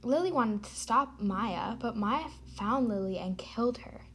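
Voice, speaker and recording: A woman's voice: on the high side, lively intonation, a little slow, fairly bright tone, slight background noise. A woman playing a chatty, sardonic narrator who hosts an amateur radio drama. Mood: gossipy. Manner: sardonic, informal. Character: chatty, sardonic story narrator hosting the show. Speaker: host.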